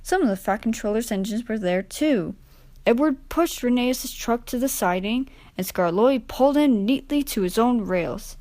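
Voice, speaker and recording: A woman's voice: a little high, lively intonation, normal speed, dark tone, dry recording. A woman reading a children's story as a scripted narration.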